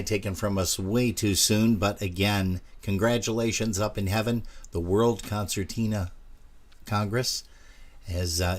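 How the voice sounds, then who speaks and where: Folksy low-voiced male show host. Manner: folksy and friendly, with frequent "uh" fillers. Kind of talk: casual live broadcast sign-off chat.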